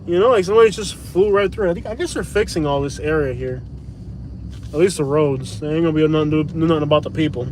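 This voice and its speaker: A man's voice: fairly high pitch, fast, darkish tone, slight background noise. A man vlogging from his car at night, giving a blunt, slangy, opinionated rant. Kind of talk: live-stream rant while driving.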